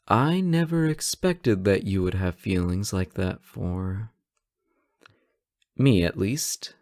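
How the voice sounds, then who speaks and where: Young male superhero played by a man, romantic audio roleplay. Slow-paced, playful, bashful, close dry sound. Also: lowish voice, a little mellow, no room sound, exaggerated voice. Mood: flustered, shy. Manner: playful, stammering when shy. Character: teasing, flustered male superhero smitten with his nemesis. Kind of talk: romantic roleplay audio acting, addressed to listener.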